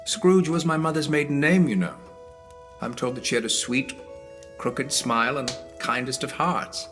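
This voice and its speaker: An actor playing a kindly, sentimental young gentleman in a radio play, genial and earnest. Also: mid-range voice, normal speed, over music, close to an everyday voice. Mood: wistful, fond.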